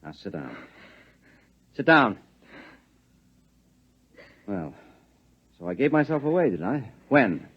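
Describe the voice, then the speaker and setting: Husky, hard-edged secretive husband. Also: male voice, on the low side, big pitch swings, takes it slowly, pauses often, exaggerated voice. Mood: defensive, stern. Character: hard, controlling government husband with grim secrets. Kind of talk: 1950s radio drama acting. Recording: dull, lo-fi 1950s radio recording.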